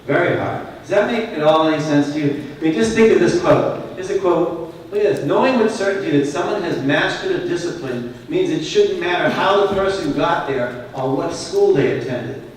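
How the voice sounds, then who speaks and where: A man's voice: a little high, expressive, moderate pace, slight background noise. Man, Boston accent, conference keynote, conversational and emphatic, very echoey hall, distant mic. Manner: conversational, emphatic, asks the audience questions.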